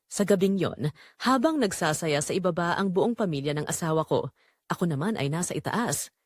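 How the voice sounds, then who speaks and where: A woman's voice: on the low side, animated, normal speed, no room sound, studio quality. A woman narrating a scripted first-person dramatic story.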